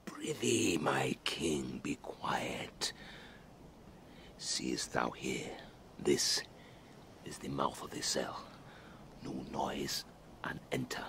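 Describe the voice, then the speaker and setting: An actor playing a servile, scheming, resentful monster servant in a Shakespearean audio drama, gravelly and urgent. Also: male voice, rumbling low, lively intonation, drawn-out words, long pauses, a bit bright, in a whisper, heavily acted. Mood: hushed, coaxing.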